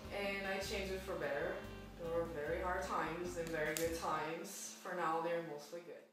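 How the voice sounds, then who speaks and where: Woman in her 20s, low-pitched for her age, hesitant and full of restarts, heard from a distance. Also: steady tone, moderate pace, very echoey, over music. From Brazil. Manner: hesitant, with frequent restarts and fillers. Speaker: music student. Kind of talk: personal account interview.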